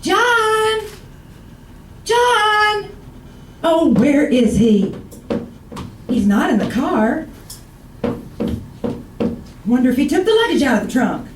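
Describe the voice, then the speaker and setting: An actress playing a romantic but nagging, easily wounded wife in old-time radio comedy, chattering and exasperated. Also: female voice, high-pitched, sing-song, slow, pauses often, distant mic, full character voice. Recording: roomy, noisy old radio, off mic.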